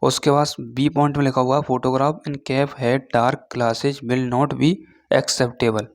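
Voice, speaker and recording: A man giving an informal explainer lesson as an online teacher, reading through points haltingly and often checking in with short tag words. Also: mid-range voice, flat delivery. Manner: switches between Hindi and halting read-out English.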